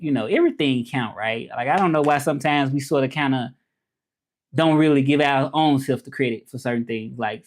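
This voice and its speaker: A man in his thirties from Memphis with a Southern accent, chatting loosely on a livestream, greeting viewers and repeating himself as he talks. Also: medium pitch. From Memphis, Tennessee. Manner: loose, repetitive, greets viewers and urges subscribing. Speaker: livestream host and French teacher. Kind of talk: casual livestream chat.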